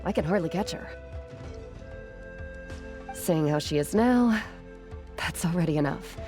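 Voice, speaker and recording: A woman playing a gentle, caring, modest retired artisan in a video game, soft-spoken and measured. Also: on the low side, lively intonation, faint music behind. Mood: apologetic, tender.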